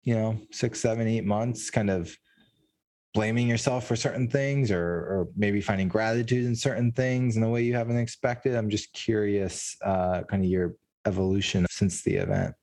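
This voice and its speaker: Deep, mellow-voiced male podcast host. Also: animated, normal speed. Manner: curious, supportive questioning. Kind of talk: casual interview conversation.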